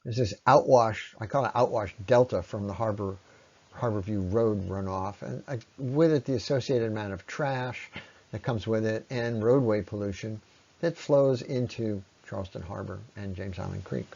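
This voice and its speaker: A man presenting an explanatory slide talk in a conversational, unscripted way, with halting phrasing and frequent pauses. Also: fairly low pitch, vocal fry, darkish tone, muffled recording. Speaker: presenter, ecology lecturer.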